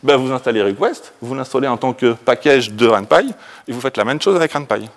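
A man with a French accent gives an informal tech presentation in a lecture room. His voice sits at a medium pitch. He talks like a conference speaker walking people through a demo, joking at his own expense. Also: a little fast. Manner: informal, jokey, self-deprecating.

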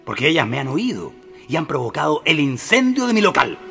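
A man's voice: on the high side, very animated, a little fast, gravelly. An actor playing a naive, earnest male shopkeeper in a radio drama, candid and flustered, repeating himself politely. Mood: earnest, insistent. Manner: flustered, repetitive, pleading politeness. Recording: music behind the voice.

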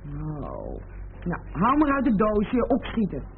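A woman's voice: fairly low pitch, huge pitch swings, slow pace, creaky, heavily acted. An actress playing a fed-up, unhappy young woman in a radio play, terse and blunt. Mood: exasperated. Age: young adult. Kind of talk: Dutch radio play acting. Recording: tinny, hissy old radio recording.

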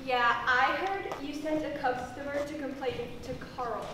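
A woman's voice: high voice, fairly even intonation, moderate pace, close to an everyday voice. A young woman playing a sour, bossy, sarcastic supermarket cashier in an amateur stage comedy, snarky and complaining. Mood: gossipy. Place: indoor theatre stage. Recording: echoey hall from far off, noisy audience.

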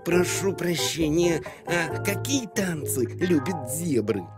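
A man's voice: fairly high pitch, animated, slow. A man voicing a polite, dreamy big elephant in a children's cartoon, with a courteous, hesitant manner. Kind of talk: animated children's cartoon acting. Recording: music under the voice.

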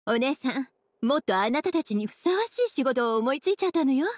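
Sultry witch librarian, languid big-sister teasing, fairly high voice. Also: lively intonation, a little fast, no echo. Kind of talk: Japanese game dub.

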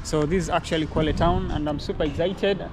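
A man's voice: fairly high pitch, a little fast. A young man vlogging a walking tour outdoors, holding the camera at arm's length and talking eagerly to viewers, often repeating himself. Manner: excitable, repeats phrases, addresses viewers. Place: outdoors on a busy town street. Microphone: camera held at arm's length. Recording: street traffic noise behind. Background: traffic and street noise.

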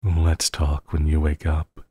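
A man playing a possessive supervillain lover in an intimate audio roleplay, coaxing and pleading with a halting delivery and quiet menace. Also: very deep voice, completely flat delivery, slightly rough, no room sound, exaggerated voice. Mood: coldly sweet.

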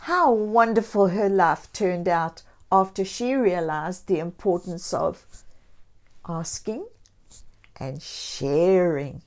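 A woman storyteller reading a picture book aloud to young children, expressively and deliberately, with encouraging asides. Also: lowish voice. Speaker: children's storyteller and channel host.

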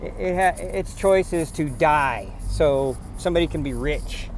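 A man's voice: on the high side, unhurried, a little hiss or hum. A man vlogging while driving around rural farmland, talking in an indignant, rambling way and repeating himself. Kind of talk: casual rant to camera. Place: in a pickup truck on farm roads, then outdoors on rural land.

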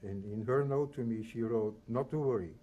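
A man in his eighties from the Netherlands and Belgium, reading a prepared personal testimony in measured, solemn short phrases. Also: mid-range voice, warm tone, muffled recording. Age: 80s. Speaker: Holocaust survivor giving testimony.

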